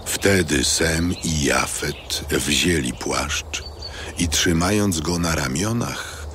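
A man narrating a dramatised audio Bible in a solemn, measured storytelling manner. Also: a bass voice, fairly bright tone, slight background noise.

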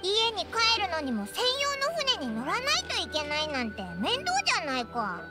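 Squeaky, brash little fairy companion. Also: female voice, wildly swooping pitch, moderate pace, full character voice. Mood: puzzled, insistent. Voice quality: squeaky, childlike. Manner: blunt, boyish phrasing. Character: small floating childlike fairy companion, brash and curious. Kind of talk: anime video game dialogue. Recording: studio voice over loud music.

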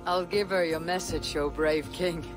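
A woman's voice: low-pitched, steady tone, a little slow. An actress playing a stoic, loyal Viking warrior woman in a dramatic game cutscene. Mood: firm, grave. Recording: loud background music under dialogue.

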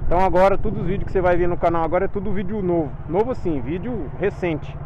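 A man's voice: high-pitched, rapid-fire, lo-fi sound. A Brazilian man chatting casually to viewers in a rambling, colloquial way while riding a motorcycle. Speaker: motovlogger. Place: outdoors, riding a motorcycle through city streets at night. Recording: loud motorcycle engine and wind noise.

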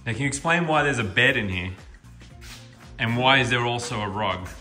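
A man's voice: medium pitch, expressive, normal speed, pushed and bright, big-room sound, faint music behind. A man narrating with teasing, jokey remarks. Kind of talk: casual vlog chat.

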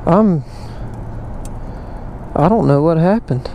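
A man's voice: fairly high pitch, expressive, slow pace. A young man talking casually while riding a motorcycle outdoors, rambling and getting frustrated, recorded on a helmet camera mic. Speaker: motovlogger. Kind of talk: casual ride-along vlog. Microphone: helmet or GoPro mic while riding. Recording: loud engine and wind noise.

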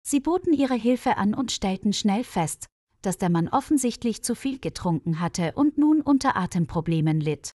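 A woman's voice: medium pitch, lively intonation, moderate pace, warm tone, no echo, studio quality. A woman reading a scripted story for language learners, enunciating carefully as narrator.

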